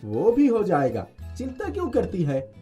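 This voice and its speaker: A man voicing a poor, good-natured village farmer in an earnest, expressive storybook delivery. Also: sits high, unhurried, breathy edge, faint music behind, exaggerated voice. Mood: delighted.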